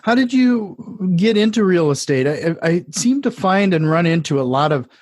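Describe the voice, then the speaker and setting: A man's voice: high voice, animated, normal speed, darkish tone, dry recording, clean studio sound. A man hosting a podcast, asking easygoing interview questions. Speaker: podcast host. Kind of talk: conversational interview.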